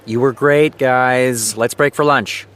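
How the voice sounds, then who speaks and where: An actor playing a reluctant TV showrunner in an audio drama, natural, dry and a little whiny and hesitant. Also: male voice, mid-range voice, steady tone, takes it slowly, fairly bright tone, clear, resonant tone. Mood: upbeat directing. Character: reluctant, grumbling male TV showrunner.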